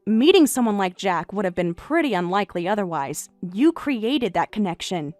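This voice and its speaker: A woman voicing a calm young wife who is unbothered by her husband's affair, speaking flat, quiet and deadpan in a voiced story drama. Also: medium pitch, normal speed, dry recording, faint music behind, close to an everyday voice.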